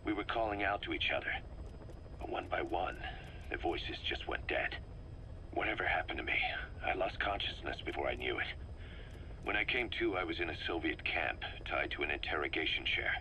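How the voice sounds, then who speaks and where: An actor playing a grim, embittered mercenary commander, recounting things with intense deliberation in a dramatic video game style. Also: male voice, sits low, lively intonation, normal speed, pushed and bright, exaggerated voice, rough recording. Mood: grim, haunted. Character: embittered, driven male mercenary commander briefing his boss. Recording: faint noise, cassette tape recording.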